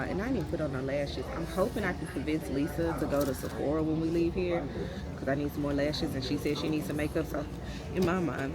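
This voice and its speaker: An American woman in her late thirties chatting casually and slangily to her viewers like friends, as a lifestyle vlogger. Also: low-pitched. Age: late 30s. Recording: loud music behind the voice. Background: loud background music.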